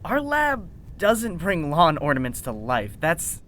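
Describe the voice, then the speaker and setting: A woman's voice: low voice, wildly swooping pitch, slow pace, a bit bright, a little hiss or hum, exaggerated voice. A woman playing an evasive, self-important scientist with a dry, haughty manner in a fiction podcast. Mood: dry, indignant. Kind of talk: audio fiction podcast acting.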